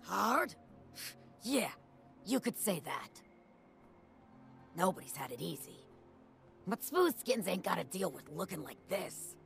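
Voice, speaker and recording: Creaky, swaggering ghoul woman. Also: medium pitch, sing-song, takes it slowly, long pauses, soft background music, exaggerated voice. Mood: bitter. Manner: cocky, streetwise, slangy talk. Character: tough, wisecracking, jaded female ghoul wasteland brawler. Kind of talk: video game character dialogue.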